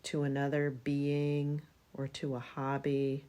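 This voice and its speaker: Slow, low-voiced woman guiding meditation. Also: monotone, mellow. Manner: soothing, unhurried, with long pauses. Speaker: yoga nidra meditation guide. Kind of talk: guided relaxation meditation.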